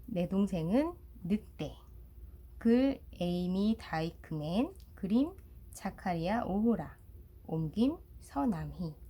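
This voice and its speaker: Female storyteller, middle-aged: unhurried and very animated picture-book narration for young children. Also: mid-range voice, slightly rough. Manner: deliberate, uses expressive sound words.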